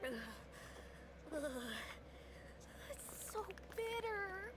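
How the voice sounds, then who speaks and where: A woman's voice: extremely high pitch, animated, extremely slow, noisy background, heavily acted. A woman voicing a sulky, whiny, playful young boy in a video game. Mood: reluctant, meek. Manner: whiny, grumbling, childish.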